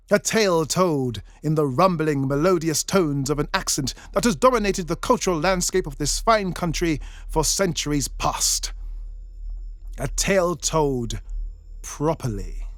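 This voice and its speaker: British male narrator, pompous and theatrical, high-pitched, faint music behind. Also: dry recording. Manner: pompous, deliberate. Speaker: storyteller narrator. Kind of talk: theatrical tongue-in-cheek storybook narration.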